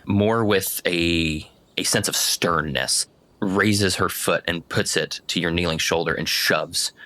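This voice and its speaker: A man working as game master, narrating a tabletop roleplaying game in a deliberate, descriptive way. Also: low voice, bright, forceful tone, no echo.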